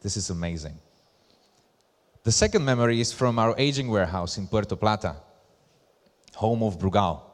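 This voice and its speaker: A man from Slovakia with a Slovak accent, giving a conference presentation as a brand ambassador in deliberate, emphatic short phrases. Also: on the low side. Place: large hall at a live event. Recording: echoey hall with long reverb.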